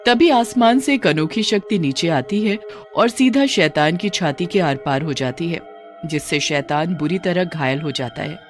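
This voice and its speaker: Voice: mid-range voice, lively intonation, moderate pace, soft background music. A narrator reading a scripted cartoon folk story in a dramatic storytelling manner.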